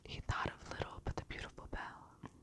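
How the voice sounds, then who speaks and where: A woman reading a children's storybook in a gentle, hushed ASMR style, dropping into whispers. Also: a little fast. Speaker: ASMR reader.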